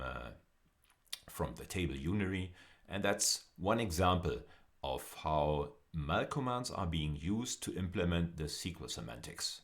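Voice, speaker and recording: A man lecturing in an explanatory style, speaking deliberately and often repeating or rephrasing phrases for emphasis. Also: extremely low pitch. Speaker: university lecturer.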